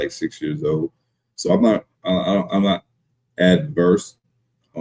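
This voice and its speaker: A man's voice: very deep voice, mellow. A man in his thirties co-hosting a podcast, talking casually and reflectively in a hesitant way. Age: 30s. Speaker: podcast co-host.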